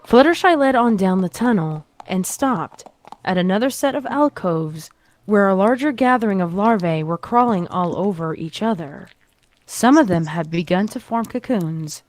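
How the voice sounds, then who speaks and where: A woman's voice: mid-range voice, lively intonation, moderate pace. A woman reading a scripted story as narrator, with dramatic delivery.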